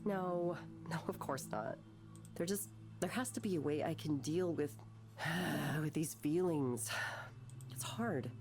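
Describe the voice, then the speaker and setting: An actress voicing an anguished, bitter, self-doubting woman artist in a naturalistic visual novel, speaking haltingly and emotionally. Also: deep voice, darkish tone, soft background music. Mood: hurt, despairing. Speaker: counselling patient.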